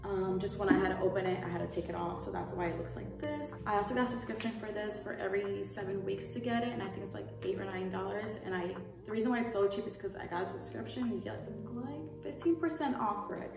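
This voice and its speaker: A woman's voice: mid-range voice, fast talker, creaky, hardly any pauses. Echoey young woman vlogger, distant mic. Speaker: lifestyle YouTuber. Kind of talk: casual product haul chat to camera. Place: indoor room. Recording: roomy echo, distant mic, music behind.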